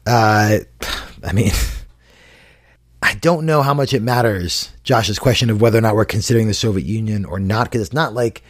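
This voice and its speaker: A man co-hosting a casual podcast with a dry, wry sense of humor and relaxed, hedging speech. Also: lowish voice, normal speed, dry recording. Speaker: podcast co-host.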